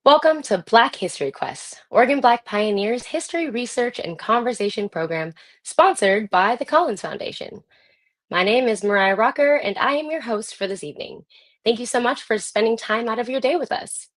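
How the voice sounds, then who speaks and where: A woman's voice: a little high, animated, normal speed. A woman hosting a live online program, delivering a scripted introduction to viewers. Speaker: host.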